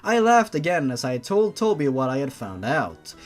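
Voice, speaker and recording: A man's voice: fairly high pitch, very animated, normal speed. A man reading fan fiction aloud and breaking off for exasperated, mocking, sarcastic asides. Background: background music.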